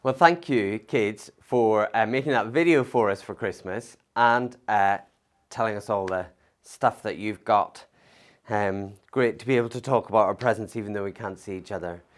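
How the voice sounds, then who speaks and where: A man pastor giving a conversational Christmas sermon, thoughtful, with pauses and fillers. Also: on the low side. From not from Liverpool.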